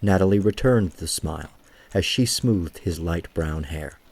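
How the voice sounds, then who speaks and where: Very deep, husky male story narrator. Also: a little slow, a little mellow. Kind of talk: scripted story narration, audio drama.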